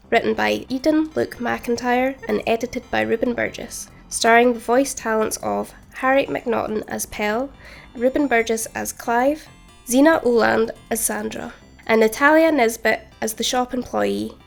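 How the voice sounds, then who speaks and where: A woman's voice: on the high side, unhurried, creaky, soft background music. A woman announcer reading scripted closing credits for a radio play. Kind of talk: scripted narration.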